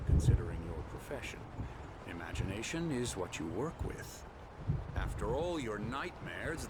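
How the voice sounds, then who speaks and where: An actor playing a smug, manipulative middle-aged psychiatrist in a video game, with a pompous, patronizing manner. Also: male voice, on the low side. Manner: pompous, patronizing, smooth-talking. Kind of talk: dramatic video game dialogue. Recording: loud ambient noise behind.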